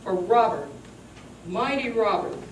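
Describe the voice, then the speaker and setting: A woman giving a dramatic live poetry recitation in a theatrical, emphatic, deliberate storytelling delivery. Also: mid-range voice, big pitch swings. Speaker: performer giving a dramatic reading. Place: roomy indoor venue, recorded at a distance. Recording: echoey, noisy live room, distant mic.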